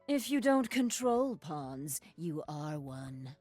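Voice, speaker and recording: Sultry snake lady villain from a game, slow n teasing, swoopy voice, faint music. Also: deep voice, big pitch swings, a little mellow, no echo, exaggerated voice. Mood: cool, scheming. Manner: sultry, teasing, unhurried delivery. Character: seductive, scheming half-woman half-snake villainess. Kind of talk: video game character voice lines.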